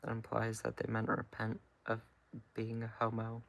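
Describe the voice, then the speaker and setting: Creaky, flat-toned young American man. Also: on the low side, normal speed. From California, United States. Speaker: livestreamer. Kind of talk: casual livestream chat, replying to viewers.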